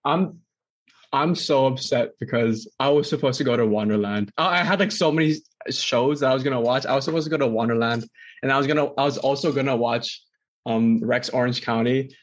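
Young man, from Indonesia, podcast co-host, rambling, filler-heavy, mid-pitched voice. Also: fairly quick. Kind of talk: casual chat.

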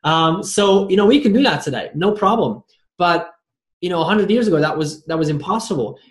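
The host of an interview podcast in an echoey room, a man with a slightly high voice who circles and restarts his way toward each question. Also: animated, fairly quick. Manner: rambling, stumbles and repeats words. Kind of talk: conversational interview. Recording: roomy, echoey sound.

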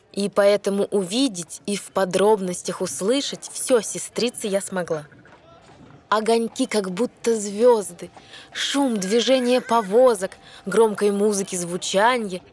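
A woman's voice: mid-range voice, a little slow, close to an everyday voice. A woman playing a young mermaid princess, reciting lyrical verse in an audio fairy tale. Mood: dreamy. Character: young mermaid princess sisters recounting sea wonders. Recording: music under the voice.

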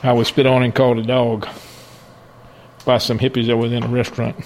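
A man's voice: mid-range voice, completely flat delivery, brisk, a little hiss or hum. An older man with a Cajun accent, telling folksy stories from his life with frequent restarts and chuckles. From southern Louisiana. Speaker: interviewee. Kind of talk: personal storytelling.

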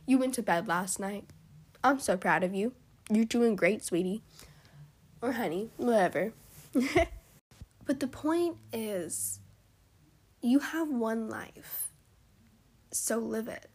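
Young woman podcaster, hoarse and husky, kinda high voice, heartfelt pep talk, drops to whispers. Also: animated, takes it slowly, long pauses. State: hoarse, voice cutting in and out. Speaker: podcast host. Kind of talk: solo motivational podcast talk.

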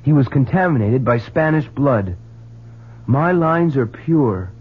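An actor playing a headstrong, intense young student with a husky voice in an old-time radio drama. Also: male voice, mid-range voice, expressive, takes it slowly. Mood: determined. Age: young adult. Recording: thin, narrow old radio recording.

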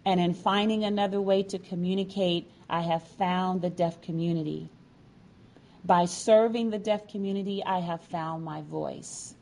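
A woman storyteller speaks at a slow pace in a mellow voice. She delivers a prepared personal, inspirational speech, phrasing it earnestly and deliberately, with measured pauses. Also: on the low side. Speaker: featured storyteller, sign language educator and advocate.

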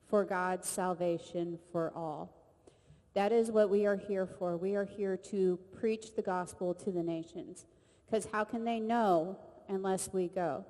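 Female service leader, earnest and chatty, recorded in a reverberant church hall. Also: medium pitch. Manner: earnest, conversational, warm address to the group. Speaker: ministry leader leading a service. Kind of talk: church service hosting and prayer. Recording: long reverb of a large hall.

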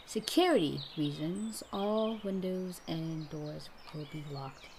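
A woman's voice: low-pitched, animated, takes it slowly, vocal fry, darkish tone, slight background noise, full character voice. A woman voicing a curt, bossy female slasher who is coldly commanding and grudgingly protective, in a roleplay audio drama. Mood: stern.

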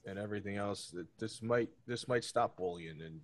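A man's voice: lowish voice, moderate pace. A man hosting a casual family podcast, talking sincerely with some hesitant repeats. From Greek heritage. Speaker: podcast host.

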